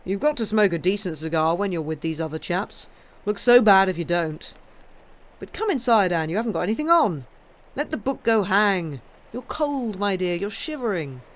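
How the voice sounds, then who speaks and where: A reader voicing a jovial, blustering middle-aged husband with a loud, hearty manner. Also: mid-range voice, sing-song, moderate pace, lightly acted.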